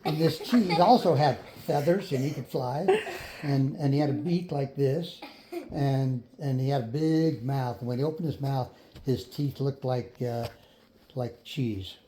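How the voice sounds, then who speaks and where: An older man improvising a children's story in a folksy, unhurried way, pausing for others to join in. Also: mid-range voice, noisy background. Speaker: grandfather storyteller.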